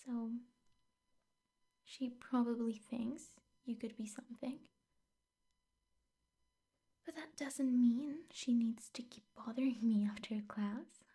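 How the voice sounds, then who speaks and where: A young woman playing a possessive, sweetly menacing teacher girlfriend in an ASMR roleplay, speaking softly, intimately and often in whispers. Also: on the high side, exaggerated voice. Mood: jealous, scornful. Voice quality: soft, breathy, often whispered. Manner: slow, intimate, coaxing with veiled threats.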